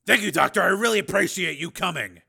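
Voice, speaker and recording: Man acting an intense, forceful cop and father. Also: high voice, lively intonation, fast talker, gravelly, husky, pitched above the performer's own voice, full character voice. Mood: concerned. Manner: intense, urgent, demanding. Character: tough, worried police lieutenant and anguished father.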